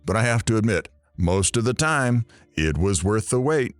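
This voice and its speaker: A man's voice: fairly low pitch, very animated, normal speed. A man playing a radio talk show host who wisecracks at the storyteller. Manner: wisecracking, teasing.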